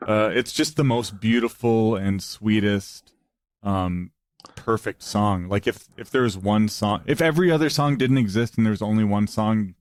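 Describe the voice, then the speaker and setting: A man's voice: moderate pace, no echo, studio quality. A man appearing as a guest musician on a podcast, chatting casually and enthusiastically, with many fillers. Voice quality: low voice. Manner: enthusiastic, hesitant, frequent "like" and "um". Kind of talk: casual conversation.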